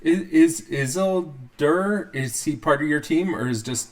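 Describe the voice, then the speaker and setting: A man's voice: on the high side, lively intonation, unhurried. A man hosting a live audio chat, asking short questions and reacting to his guest. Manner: brief, encouraging questions. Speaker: host.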